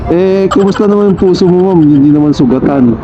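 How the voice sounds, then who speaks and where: A man's voice: high voice, a little fast. A man chatting and joking with his passenger while riding a motorcycle taxi at night, talking through a helmet headset. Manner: teasing, chatty, calls passenger ma'am. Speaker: motorcycle taxi rider and vlogger. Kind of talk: casual chat while riding. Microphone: helmet intercom headset. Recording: dull lo-fi sound with loud music.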